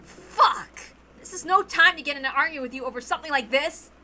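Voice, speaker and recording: Woman playing a forceful, hot-headed young man. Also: sits high, a little fast, slight background noise. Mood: furious. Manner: forceful, blunt, shouting. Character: hot-headed, foul-mouthed, anguished young man in a murder mystery.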